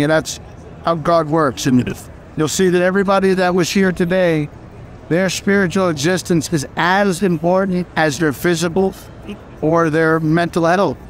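A man's voice: high voice, slight background noise. An older man giving emphatic, folksy advice with a spiritual bent at an indoor event. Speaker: interviewee. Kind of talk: preachy advice to camera.

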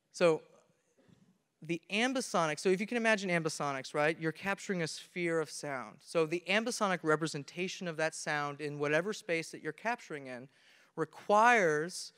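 A man's voice: on the high side, normal speed, pauses often, a bit bright, smooth. A young man giving a technical conference presentation on a handheld mic, explaining things step by step in an earnest way and often restarting his sentences. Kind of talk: technical talk on stage. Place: large conference hall with audience. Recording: reverberant hall from a camera.

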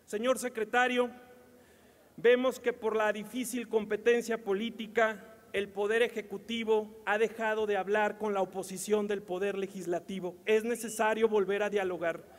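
High-voiced legislator in a reverberant chamber. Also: male voice, flat delivery, normal speed. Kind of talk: formal floor speech.

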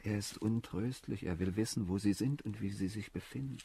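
A deep, very husky military officer in a radio play. He is in love and used to giving orders, impatient and pleading. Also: a little fast, dark tone, heavily acted. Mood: soft pleading. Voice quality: husky, breathy low voice. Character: lovesick, commanding young officer, impatient and pleading. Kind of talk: German radio play acting.

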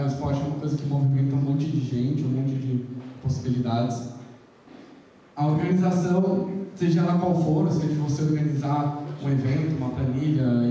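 A man's voice: fairly high pitch, warm tone. A man in his thirties from Brazil giving an earnest motivational talk at a fair, with frequent restarts, on a handheld mic. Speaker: speaker at an entrepreneurship fair. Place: large conference room, indoors. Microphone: handheld mic, heard from far off. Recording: echoey hall from far off.

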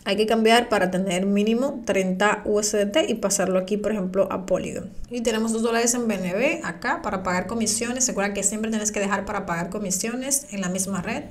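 A woman at a middle pitch guides her viewers through each click of a screen-recorded tutorial. She talks to them directly and patiently, explaining every step as she goes. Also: brisk, hardly any pauses. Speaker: crypto tutorial creator. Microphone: voice-over on screen recording.

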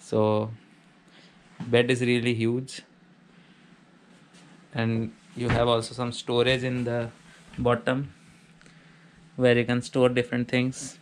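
A man of middle pitch narrates a tour of a small student apartment, listing each feature in a matter-of-fact way. His voice stays almost monotone throughout. A faint hum of room ambience sits behind the camera-mic sound. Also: slow, long pauses. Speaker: vlogger giving a house tour. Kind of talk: casual walkthrough narration.

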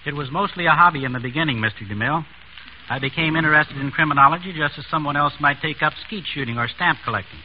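A man's voice: on the high side, quick pace. A middle-aged man giving formal, scripted answers as an expert guest in a vintage radio interview. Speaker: physician criminologist, interview guest. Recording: dull, noisy vintage radio recording.

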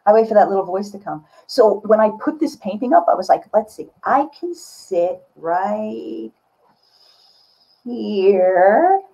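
A woman in her sixties chatting casually and expressively on a video call, with a slightly husky voice. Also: medium pitch, slow pace, dull audio. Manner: expressive, exclaiming. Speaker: guest artist. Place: small indoor studio room with bookshelves.

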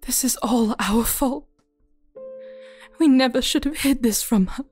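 A woman's voice: high-pitched, a little slow, darkish tone, faint music behind. A woman playing an anxious, motherly good fairy in a fairy-tale audio drama, speaking with open emotion. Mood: despairing.

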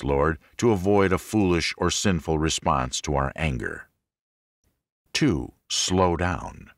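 A man reading a scripted audiobook in a measured, deliberate, instructive way. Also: low voice, dry recording, studio quality. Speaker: audiobook narrator. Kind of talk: scripted audiobook narration of religious self-help.

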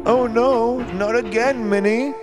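A man's voice: very high-pitched, steady tone, measured pace, loud music behind the voice. An actor voicing Len, a timid cartoon boy who hesitates and complains about spooky places. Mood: nervous. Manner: nervous, hesitant, whiny. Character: timid nervous boy Len, reluctant friend. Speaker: cartoon character.

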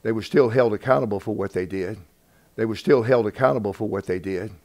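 Older man preaching, quick and low-pitched. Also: darkish tone. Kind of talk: informal sermon quoting scripture. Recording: noisy sermon recording with clicks.

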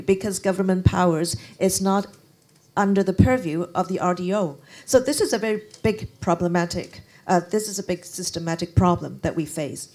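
A middle-aged woman explaining as a conference panelist into a handheld microphone, halting and repeating words as she goes. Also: medium pitch, a little mellow. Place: conference function room, indoors.

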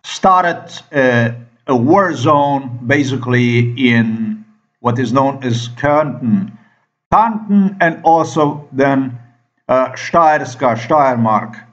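A man from Slovenia with a Slovenian accent, giving an unscripted monologue in a halting, emphatic and profane manner. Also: mid-range voice, lively intonation. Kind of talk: unscripted monologue commentary over screen recording. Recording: dull lo-fi microphone sound.

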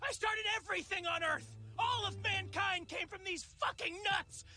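A man's voice: very high-pitched, normal speed, heavily acted. A cocky foul-mouthed first man. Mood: ranting. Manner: boastful, swearing, shouting rants. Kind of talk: animated musical series dialogue.

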